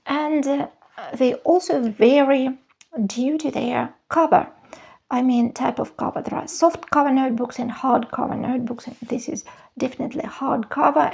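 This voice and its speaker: A woman speaks in a high voice with a gravelly edge. She talks unhurriedly in a casual vlog, choosing each word with care. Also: a little mellow. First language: Russian. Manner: slow, careful, searching for words. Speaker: vlogger, former university teacher. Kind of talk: casual show-and-tell vlog.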